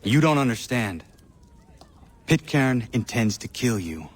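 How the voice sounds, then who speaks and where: An actor playing an earnest, solemn young warrior in a video game, speaking in a grave, formal, terse way. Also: male voice, medium pitch, sing-song, measured pace. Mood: grave warning. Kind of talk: video game cutscene acting.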